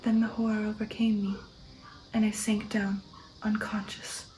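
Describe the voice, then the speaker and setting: A woman reading an audiobook in ASMR style, in a soft breathy whisper, slowly and with dramatic deliberation. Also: mid-range voice, little pitch movement, low-quality recording. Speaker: ASMR host and narrator. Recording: music under the voice. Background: music.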